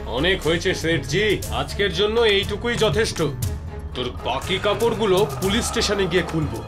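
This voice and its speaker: An actor playing a bold, protective young police inspector with a commanding, swaggering manner in a dramatized story. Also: male voice, sits high, lively intonation, normal speed. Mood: threatening. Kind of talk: dubbed animated crime story dialogue. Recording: music behind the voice.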